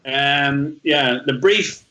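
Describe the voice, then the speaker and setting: A man's voice: medium pitch, extremely slow, pushed and bright, poor audio. A man in his thirties with a Northern Irish accent, telling his life story as a podcast guest in a chatty, rambling way with frequent restarts. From Northern Ireland. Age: 30s. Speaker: podcast guest, travel blogger. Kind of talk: casual storytelling interview.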